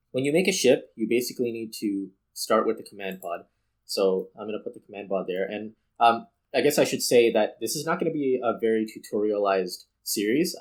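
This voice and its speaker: A man's voice: fairly low pitch, low-quality recording. A man hosting a gaming video, giving casual unscripted commentary and explaining things directly to the viewers.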